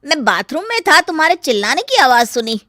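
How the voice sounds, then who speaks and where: Woman voicing a bright teasing young brother. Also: high voice, very animated, a little fast, no echo, studio quality.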